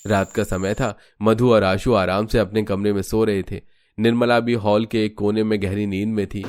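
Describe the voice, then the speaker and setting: A man's voice: fairly low pitch, level tone, normal speed, darkish tone, clean tone, dry recording, studio quality. A man narrating a scripted cartoon story as a storyteller.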